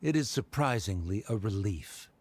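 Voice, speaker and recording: An actor playing a haughty, scornful scholar in a video game, with pompous, condescending delivery. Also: male voice, fairly low pitch, lively intonation, moderate pace, a little mellow, slightly rough. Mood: disdainful.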